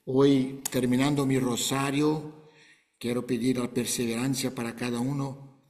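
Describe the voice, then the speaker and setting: An older man hosting a video call, speaking Spanish in a gentle, unhurried, pastoral way. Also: mid-range voice, little pitch movement, in a large hall. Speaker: host.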